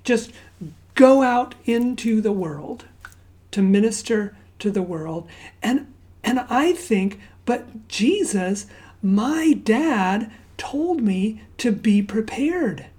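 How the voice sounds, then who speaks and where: A woman raised in Zambia giving a sermon. She opens with a quiet prayer and then tells stories conversationally, often dropping to a hushed near-whisper. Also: a little high, expressive, slow pace. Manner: conversational storytelling with fillers and asides. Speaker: pastor.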